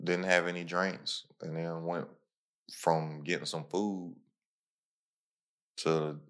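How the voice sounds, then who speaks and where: Deep-voiced young man hosting a casual chat. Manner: animated, slangy storytelling with quick bursts. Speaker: podcast host.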